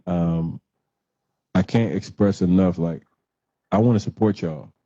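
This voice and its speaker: A man hosting a casual livestream, talking bluntly in slang and repeating words for emphasis. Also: warm tone. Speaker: host of a livestream show. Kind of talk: casual live talk with commentary. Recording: muffled, boomy roomy livestream audio.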